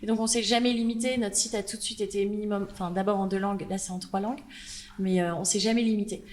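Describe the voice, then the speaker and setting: A woman with a French accent, a brand founder speaking as a guest on a panel, lively and full of fillers. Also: medium pitch, fast, slight background noise. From France. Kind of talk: panel conversation.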